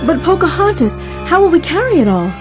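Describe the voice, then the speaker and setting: A young girl, the heroine's loyal friend in a storybook. Her voice is high and girlish, expressive, with a practical, doubting streak. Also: fairly quick, over music. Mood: doubtful. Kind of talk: children's read-along story acting.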